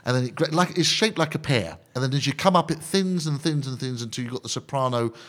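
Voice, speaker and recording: A male conductor talking as a guest on a radio interview, with a voice of middling pitch. He explains with eager energy, cracks jokes and drifts into asides. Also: lively intonation, fast talker, fairly bright tone. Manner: enthusiastic, jokey, digressive explainer.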